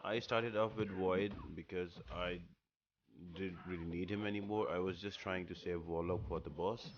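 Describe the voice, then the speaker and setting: Low-voiced man giving gaming tips. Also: fairly even intonation, moderate pace, muffled recording, background noise. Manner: explanatory, instructional. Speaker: gaming guide host. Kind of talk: casual walkthrough commentary over gameplay.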